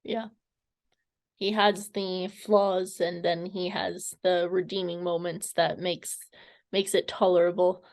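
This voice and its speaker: A woman interviewing someone in a conversational way, feeling her way through sentences with hesitant, halting phrasing. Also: fairly low pitch, level tone, a little mellow. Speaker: interviewer, fan club member.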